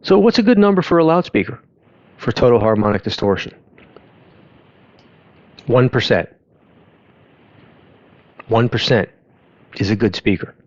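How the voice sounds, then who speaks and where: A man giving an informal explainer in a halting, conversational way, often interrupting himself to rephrase. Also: mid-range voice, lively intonation, darkish tone, no room sound, highs cut off. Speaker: host of an audio education channel. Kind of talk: informal explainer talk over on-screen graphs.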